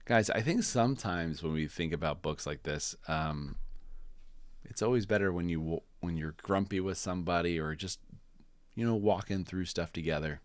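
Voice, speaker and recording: A man reading a children's storybook aloud in animated narration with casual asides, hosting as he goes. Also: low-pitched, moderate pace, no room sound. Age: adult parent. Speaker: storybook reader and channel host.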